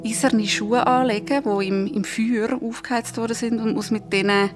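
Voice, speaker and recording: A woman with a Swiss German accent co-hosts a podcast in casual conversation. Her voice sits a little high, and music plays underneath. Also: steady tone, normal speed. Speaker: podcast co-host and producer. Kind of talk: casual chat.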